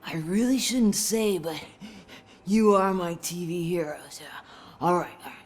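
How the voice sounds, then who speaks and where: A woman's voice: lowish voice, unhurried. An actress playing a giddy, drug-addled fan in a video game, gushing and rambling erratically as she wheedles. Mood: conspiratorial, wheedling.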